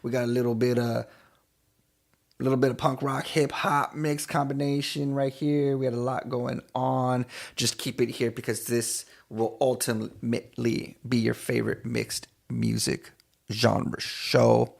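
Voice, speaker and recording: A man from East Los Angeles with a gravelly voice, chatting casually to listeners as a radio DJ and show host, repeating phrases as he goes. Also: medium pitch, flat delivery, a little slow. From East Los Angeles, California. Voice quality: gravelly, creaky voice. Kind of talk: casual radio DJ chat between songs.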